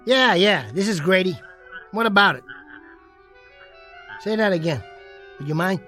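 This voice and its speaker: Casting: undersized American jockey, high voice, slangy, defiant and boastful, radio drama with music behind. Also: male voice, lively intonation, measured pace, pushed and bright, full character voice. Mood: disbelieving, eager. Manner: streetwise slangy tough talk, defiant. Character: bitter, boastful small man, a disgraced jockey.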